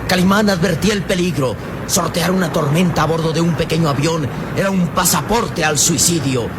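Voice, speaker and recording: A man's voice: a little high, a little fast, background noise. A man with a Mexican accent giving dramatic scripted narration as narrator of a radio adventure serial. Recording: loud music and effects behind.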